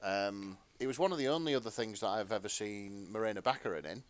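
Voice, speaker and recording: A man's voice: fairly low pitch, fast talker, no room sound. A man co-hosting a podcast, chatting casually in a hesitant, self-correcting way. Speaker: podcast co-host. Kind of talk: casual chat.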